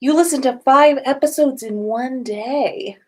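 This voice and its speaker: A woman's voice: high voice, a little slow, darkish tone. A woman hosting a casual livestream from indoors at home, chatting informally with viewers. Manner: informal, chatty, sprinkled with swearing and giggles. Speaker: podcast host on a livestream.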